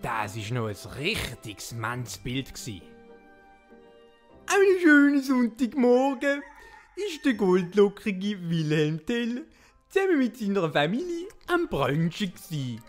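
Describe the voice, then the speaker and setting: A woman's voice: mid-range voice, wildly swooping pitch, takes it slowly, faint music behind. A woman with a Swiss German accent narrating a comic audio drama like a storybook.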